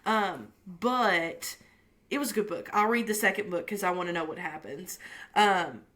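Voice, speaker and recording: A woman's voice: fairly low pitch, normal speed, fairly bright tone. A woman co-hosting a casual book podcast, talking with gushing enthusiasm and plenty of "um"s. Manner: gushing and chatty, with many fillers. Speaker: podcast co-host. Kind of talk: casual chat.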